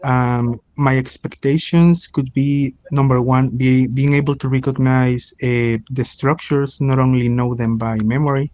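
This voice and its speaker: A young man from El Salvador introduces himself in an English class. He speaks haltingly, restarting phrases and filling gaps. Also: medium pitch, fairly even intonation, darkish tone. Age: 20s. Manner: hesitant, many fillers and restarts. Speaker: student.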